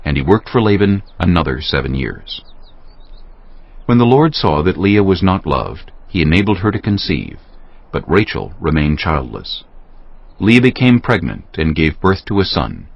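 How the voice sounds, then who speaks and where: A man's voice: sits low, sing-song, moderate pace, vocal fry, no room sound. A man narrating a dramatized audio Bible in a solemn storytelling style. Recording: slightly dull sound, music underneath. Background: music.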